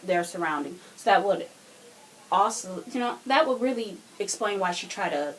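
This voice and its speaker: A woman's voice: mid-range voice, expressive, moderate pace, fairly bright tone. A woman in a casual podcast discussion, reasoning things out in wordy, somewhat formal phrases. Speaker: podcast co-speaker. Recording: noisy room ambience.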